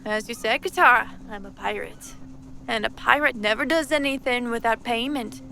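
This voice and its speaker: A woman's voice: on the high side, moderate pace, vocal fry, pushed and bright, a little hiss or hum. A woman voicing a shrewd but kind older female pirate captain, speaking in a wry, confident, teasing way, in a cartoon dub. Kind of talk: fan comic dub acting.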